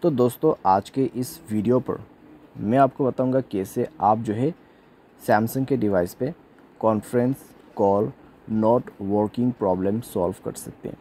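A man's voice: lowish voice, slow pace, dark tone, a little hiss or hum. A man giving a step-by-step phone troubleshooting tutorial as a voice-over in an instructional way. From India. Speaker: tech tutorial host.

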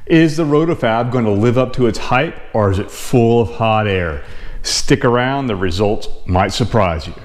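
A man in his forties, a car channel host, explaining test results to camera in a measured, technical way from his home garage. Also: medium pitch, expressive, slight background noise. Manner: measured, technical, plain-spoken.

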